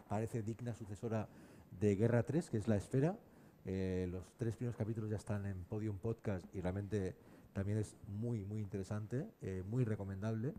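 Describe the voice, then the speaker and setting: Male moderator, lowish and extremely mellow. Measured, halting unscripted questions at a panel. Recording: clear background noise, room ambience.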